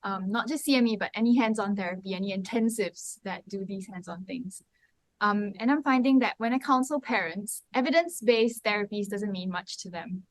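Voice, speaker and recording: A woman's voice: mid-range voice, brisk, no room sound, poor audio. A woman from Singapore, a therapist in a conference audience, politely explaining and asking a question.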